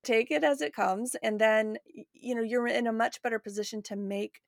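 A woman's voice: fairly high pitch, steady tone, moderate pace, vocal fry, no room sound. A woman in her late forties hosting a podcast in a casual, conversational way. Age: late 40s. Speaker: podcast host.

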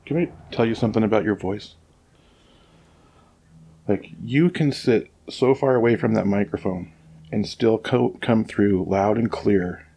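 A man's voice: fairly low pitch, a little slow, vocal fry, mellow, breathy edge. A man co-hosting a podcast in casual chat, rambling and opinionated, with many restarts, speaking close to the microphone. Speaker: podcast co-host. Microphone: sits close to the microphone.

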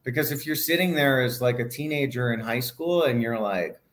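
A man's voice: mid-range voice, moderate pace, a bit bright. A middle-aged man from Hawaii, a guest in an interview, talking casually and rambling, with many fillers and restarts.

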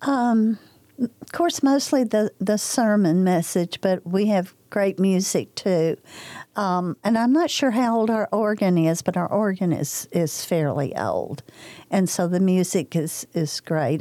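An older woman from Kentucky recalling church memories in an interview, pausing often with many ums and uhs. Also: medium pitch, no room sound. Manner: hesitant, many ums, soft-spoken. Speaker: interview guest. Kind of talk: casual reminiscing interview.

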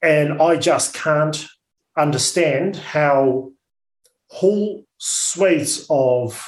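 A man with a Dutch accent speaking as an interview guest, blunt and outspoken, often halting and repeating words. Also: fairly high pitch, echoey room. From Netherlands. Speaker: interview guest, orchard business owner. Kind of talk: radio interview.

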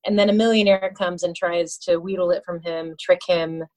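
A woman on a casual book discussion panel, speaking deliberately and pausing as she recounts and comments. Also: on the low side, steady tone, darkish tone.

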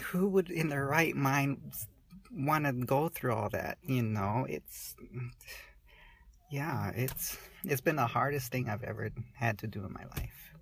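A woman in her forties speaking candidly in an interview, halting and soft-spoken, often restarting her sentences. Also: extremely low pitch, breathy edge. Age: 40s.

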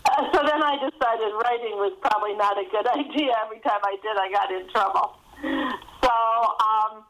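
Higher-voiced mature woman, casual storyteller. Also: normal speed, a bit bright, rough recording. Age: middle-aged or older. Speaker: guest author.

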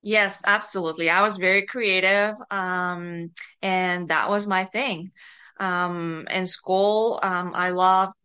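A woman's voice: on the low side, steady tone, slow, pushed and bright, clear, resonant tone. A middle-aged woman with a Bulgarian accent, giving casual, hesitant and giggly answers as a podcast guest. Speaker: podcast guest, author and writing coach.

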